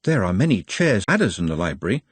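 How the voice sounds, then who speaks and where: A man's voice: mid-range voice, big pitch swings, a little fast, a little mellow, husky, no echo, pitched above the performer's own voice. A man voicing a meek, weary, very polite goblin-like creature with formal, patient speech in an audiobook. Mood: polite, docile. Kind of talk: audiobook character acting.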